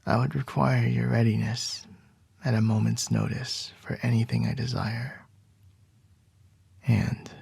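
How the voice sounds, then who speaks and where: Man doing CEO boss, ASMR roleplay, domineering, whispery, raspy, slow. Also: no echo, exaggerated voice. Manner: soft low whispering, measured and domineering. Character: commanding young male CEO boss, smooth and controlling.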